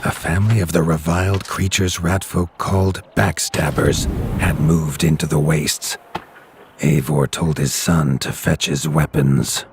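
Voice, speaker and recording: A man narrating a storybook-style game in a grave, measured storyteller's manner. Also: a bass voice, fairly even intonation, slight background noise.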